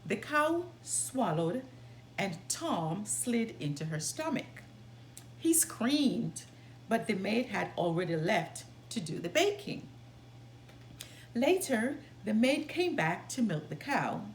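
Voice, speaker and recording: A woman storyteller giving an expressive scripted narration of a children's storybook. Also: medium pitch, takes it slowly, slight background noise. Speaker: storyteller, channel host.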